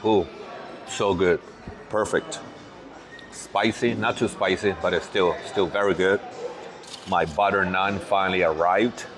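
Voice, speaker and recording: A man with a fairly low voice hosts a relaxed food vlog, talking through each dish at a restaurant table. He is easygoing and often repeats words as he gathers his thoughts. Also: unhurried, long pauses. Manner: easygoing, repeats words while thinking aloud. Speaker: vlogger host. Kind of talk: casual food vlog chat.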